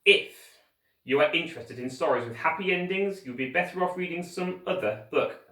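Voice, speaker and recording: A man reading a children's story aloud with expressive, emphatic narration and dramatic pauses, like a teacher reading to pupils. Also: a little high. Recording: echoey room, distant microphone.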